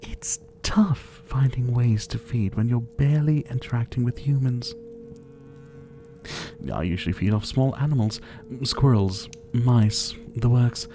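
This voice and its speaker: A man playing a suave, possessive vampire who was once a doctor, speaking to the listener in a theatrical, softly menacing ASMR roleplay. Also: mid-range voice, a little slow, soft background music, natural voice. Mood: musing, hungry. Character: older male vampire captor, former doctor, suave, possessive.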